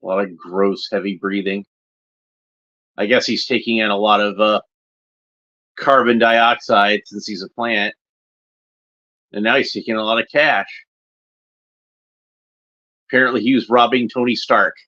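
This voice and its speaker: Male watchalong host: bright, forward voice, mid pitch, loose rambling commentary, slightly muffled home recording. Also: fairly even intonation, measured pace, long pauses. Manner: rambling, unscripted, with short observational remarks. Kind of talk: casual off-the-cuff watchalong commentary.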